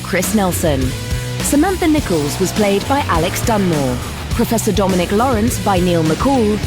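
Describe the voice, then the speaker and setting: Woman announcer reading credits over music. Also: medium pitch. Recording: guitar music behind the voice.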